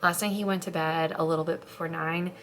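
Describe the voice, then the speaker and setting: Young mom vlogger rambling at home, kinda hoarse, lowish voice, faint hiss behind. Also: female voice, fairly even intonation, a little fast, fairly bright tone. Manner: chatty, rambling, heavy use of "like". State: slightly hoarse, congested after illness. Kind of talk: casual chat to camera. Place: home, getting ready.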